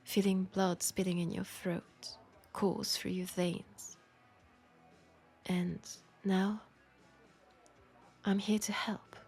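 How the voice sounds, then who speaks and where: A woman acts out a world-weary, seductive female vampire with a French accent. She speaks slowly and intimately in a soft, husky voice that often drops toward a whisper. Faint music plays behind this audio drama. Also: medium pitch, level tone, heavily acted. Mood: seductive, eager. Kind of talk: intimate scripted audio roleplay monologue.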